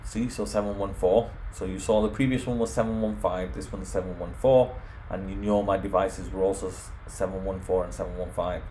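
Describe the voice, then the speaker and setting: A man's voice: low voice, steady tone, fairly quick, few pauses, dark tone, slight background noise, low-quality recording. A man presenting an informal home automation tutorial, explaining things step by step. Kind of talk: informal software screen walkthrough.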